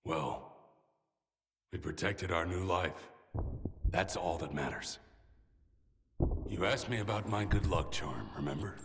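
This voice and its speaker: A battle-worn commander in a video game, speaking from very deep in his register. He is solemn and reflective, weary but dignified, and his words ring out in a long, hall-like reverb. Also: slow pace, vocal fry, pauses often, fairly bright tone, exaggerated voice. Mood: resigned. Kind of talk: dramatic video game acting.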